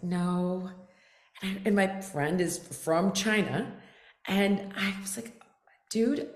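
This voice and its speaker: A woman from the United States, speaking at a mid-range pitch as a guest on a casual interview. She talks candidly and with animation, sometimes slipping into a whisper for quoted asides. The room gives her voice a noticeable echo. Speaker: guest, fitness expert.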